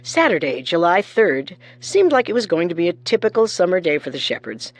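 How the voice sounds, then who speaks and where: A woman's voice: fairly low pitch, very animated, fairly quick. Woman narrating scripted true crime. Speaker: co-host and narrator.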